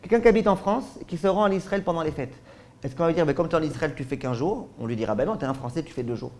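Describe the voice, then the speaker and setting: Man, rabbi giving a lesson, fairly high voice, asks rhetorical questions, acts out examples. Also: animated, racing, darkish tone, slight background noise. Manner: frequent checks with listeners ("d'accord?"). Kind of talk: spoken Torah lesson.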